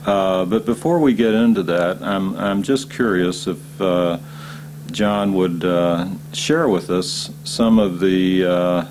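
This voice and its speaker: A man asking an interview question, halting with many fillers. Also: sits low, noisy background.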